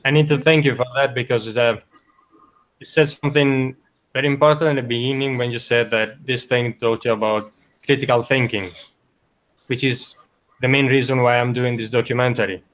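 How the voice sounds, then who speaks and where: A man speaks as the interviewer for a documentary. His voice sits at a middle pitch. He sounds earnest and measured as he thanks his guest. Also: fairly even intonation, a bit bright, rough recording. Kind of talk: interview remarks.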